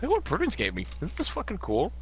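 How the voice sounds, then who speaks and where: An excitable man at a tabletop game, his pitch leaping widely as he reacts. He swears casually and sounds thrilled. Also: quick pace, a little hiss or hum. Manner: enthusiastic, swears freely. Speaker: player.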